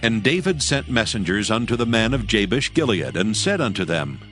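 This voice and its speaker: A man narrating a dramatized scripture audiobook with solemn, measured phrasing. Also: on the low side, big pitch swings, fairly bright tone, soft background music.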